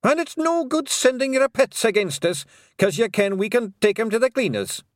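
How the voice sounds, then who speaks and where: A man voicing a small, boastful Scottish fairy warrior who blusters and shouts in a Scottish accent. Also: extremely high pitch, big pitch swings, moderate pace, no room sound, studio quality, voice pushed up. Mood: defiant.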